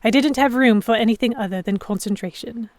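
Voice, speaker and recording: A woman narrating in first person as a composed captive in a historical pirate audio drama, with measured, dramatic storytelling. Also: fairly high pitch, a little mellow, no room sound, lightly acted. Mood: measured, tense. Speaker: narrator and lead actor.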